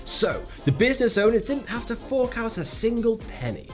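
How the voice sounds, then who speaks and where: A man's voice: extremely high pitch, sing-song, normal speed, soft background music. A man giving scripted narration for an explainer video, with a sarcastic, wry delivery.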